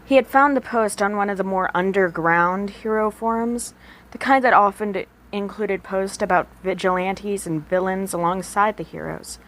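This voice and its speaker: A woman's voice: medium pitch, normal speed, fairly bright tone, rough recording. A woman narrating a story as an amateur audiobook reader, working from a written script. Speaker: podfic reader.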